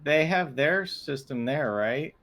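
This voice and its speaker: A man's voice: fairly high pitch, lively intonation, takes it slowly, fairly bright tone. A man talking casually as a player in a tabletop role-playing game.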